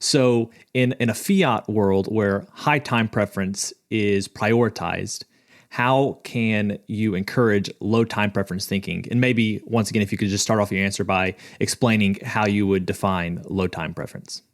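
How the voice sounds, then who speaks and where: Low-voiced podcast host asking questions. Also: male voice, normal speed. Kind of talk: interview questions.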